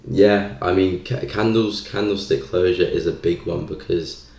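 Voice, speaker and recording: A man's voice: low voice, fairly even intonation, a little slow, vocal fry, a little mellow. A man hosting a live stream, giving casual market commentary and thinking aloud in a hesitant way. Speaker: live stream trading host. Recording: roomy, echoey sound.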